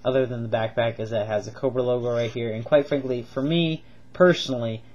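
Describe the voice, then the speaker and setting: A man's voice: mid-range voice, fairly even intonation, brisk, a little mellow. Man, stuffed up with a cold, rambling toy review, lots of ums, slightly dull lo-fi audio. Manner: rambling, gushing, many ums and repeats. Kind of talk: casual toy review vlog.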